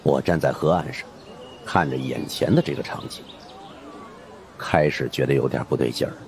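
A man's voice: rumbling low, moderate pace, creaky, a little mellow. A man narrating a story as a dramatic scripted audiobook in the first person. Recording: faint background noise.

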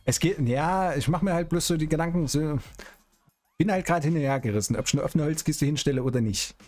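A man's voice: fairly high pitch, fairly quick, a little mellow. A middle-aged man chatting casually and colloquially in improvised game role-play, with a teasing, grumbling manner. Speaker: streamer role-playing in an online game. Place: small indoor streaming room. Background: music in the background.

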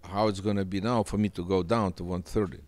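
Deep-voiced man. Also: steady tone, brisk, a little mellow. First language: Russian. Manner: earnest, emphatic, repeats phrases. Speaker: boxing manager. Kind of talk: sit-down interview. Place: indoor studio set with sofa. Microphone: handheld mic.

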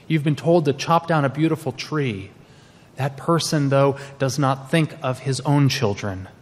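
Serious anteater scolding, guy reading, slow, big reverby room. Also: mid-range voice, close to an everyday voice.

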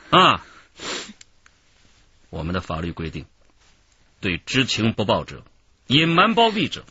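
An actor playing a stern, shrewd police interrogator in a radio crime drama, husky-voiced, lecturing with emphatic, measured authority. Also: male voice, sits low, pushed and bright, dry recording, lo-fi sound, heavily acted.

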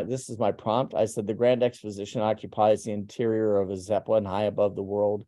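A man presenting his art over slides on a video call, talking haltingly with many ums and restarts. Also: lowish voice, mellow. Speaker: artist presenting his work. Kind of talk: conference talk over slides. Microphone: webcam on a video call.

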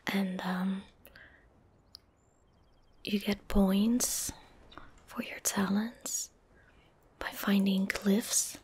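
A young woman doing soft-spoken ASMR gameplay commentary, gentle and breathy, close on a studio microphone. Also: medium pitch, steady tone. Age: young adult. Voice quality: breathy, whispered. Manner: gentle, unhurried, casual. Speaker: ASMR game streamer. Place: indoor streaming room.

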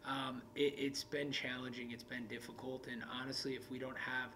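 A man in his thirties, a basketball coach, talking candidly in an interview with halting restarts. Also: mid-range voice, level tone, fairly bright tone, a little hiss or hum. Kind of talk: post-game interview. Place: indoor media room in front of a backdrop.

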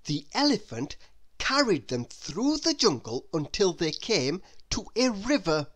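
A woman narrates a children's story in a lowish voice with sweeping intonation. She takes her time, reading very slowly and stretching out phrase endings expressively. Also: very animated. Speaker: storybook reader. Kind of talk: children's read-aloud narration.

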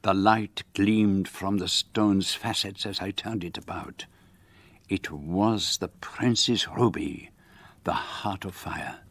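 A man narrating a radio mystery play in a measured, calm way. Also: low-pitched, no room sound, full character voice. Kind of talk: scripted narration.

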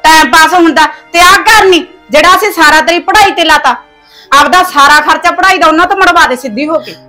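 A woman's voice: very high-pitched, fast, bright, forceful tone, soft background music. A woman playing a harsh, taunting mother-in-law who scolds with sarcasm and folk sayings. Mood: scolding. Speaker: actor in a family skit. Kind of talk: domestic comedy-drama skit.

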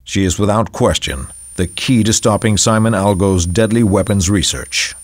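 Guy doing a official spy boss giving a game mission briefing, lowish voice, formal and measured. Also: lightly acted. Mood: official, grave. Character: formal, authoritative male spy agency briefer.

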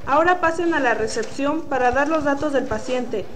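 Woman acting high-voiced, formal doctor. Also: normal speed, a bit bright, slight background noise. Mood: calm, formal. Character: calm, formal hospital doctor. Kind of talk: student radio drama acting.

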